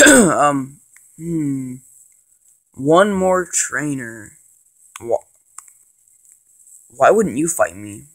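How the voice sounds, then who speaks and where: A man with a cold and a congested voice, rambling casually with lots of ums and excited bursts as he plays and narrates a handheld game. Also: fairly high pitch, drawn-out words, pauses often. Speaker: gaming channel host. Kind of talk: casual let's play commentary.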